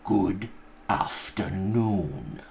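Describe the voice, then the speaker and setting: A man's voice: deep voice, animated, extremely slow, vocal fry, a little mellow, slight background noise, heavily acted. A man playing a curt, scornful old miser in a storybook reading, growling and dismissive.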